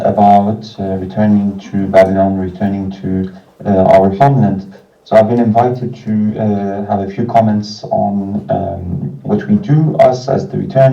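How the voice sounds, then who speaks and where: A man, giving an informal prepared talk in a halting, hesitant way with frequent pauses. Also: lowish voice, gravelly, dark tone. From France. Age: 30s. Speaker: non-profit organization founder and representative. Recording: muffled, distant, echoey video playback.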